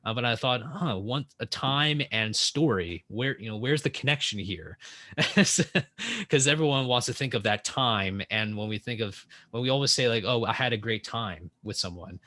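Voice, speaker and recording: A man's voice: medium pitch, animated, moderate pace, sharp tone, no echo, clean studio sound. A young man speaking as a podcast guest in casual conversation, rambling with many restarts and fillers.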